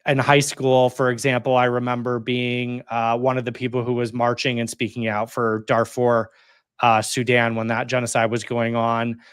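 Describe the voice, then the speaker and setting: A man's voice: medium pitch, completely flat delivery, a bit bright, smooth, dry recording. A man in his thirties, a guest on an interview show, telling his story in a casual, conversational way and filling his talk with "like" and "you know". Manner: chatty, heavy use of "like" and "you know", quick laughs. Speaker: interview guest, community organizer.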